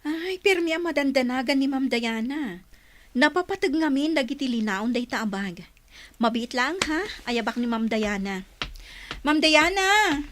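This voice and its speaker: A high-pitched, brisk schoolteacher. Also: female voice, lively intonation, fairly bright tone, a little hiss or hum. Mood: brisk, helpful. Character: brisk, helpful female schoolteacher, chatty colleague. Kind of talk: radio drama acting.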